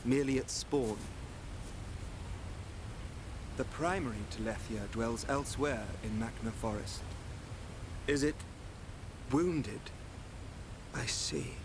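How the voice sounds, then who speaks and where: Man acting a calm, enigmatic British seer. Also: mid-range voice, noisy background, natural voice. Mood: mysterious. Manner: measured, cryptic, composed. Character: calm, enigmatic young male seer who knows much. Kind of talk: video game voice acting.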